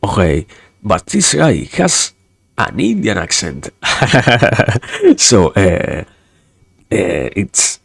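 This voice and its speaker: A man's voice: lowish voice, wildly swooping pitch, very slow, creaky, pauses often, breathy edge, dry recording. A young man with a non-native English accent, chatting on a livestream in a hesitant, rambling way, repeating words and getting wryly sarcastic. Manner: wry sarcasm. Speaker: virtual streamer. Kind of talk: casual livestream chat.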